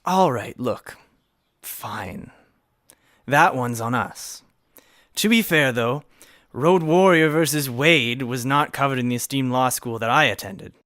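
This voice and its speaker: A man's voice: medium pitch, slow, a bit bright, no echo, studio quality. An actor playing an earnest, exasperated prosecutor in a scripted comedy, formal and questioning as the straight man of the courtroom. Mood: resigned, pressing.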